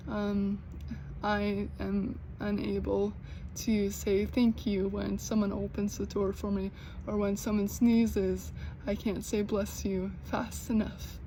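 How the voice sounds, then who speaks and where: A young American woman who stutters, with repeated sounds and blocks, talking slowly and earnestly in a personal vlog. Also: medium pitch, slight background noise, rough recording. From United States. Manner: slow, earnest, gently encouraging. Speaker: vlogger.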